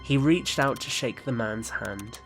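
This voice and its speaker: A man's voice: mid-range voice, unhurried, over music. A man narrating an audio drama in a scripted storytelling style. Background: musical score.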